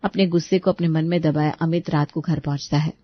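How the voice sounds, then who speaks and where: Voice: medium pitch, steady tone, brisk, no echo. A storyteller narrating a dramatic domestic bedtime story. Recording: dull lo-fi recording.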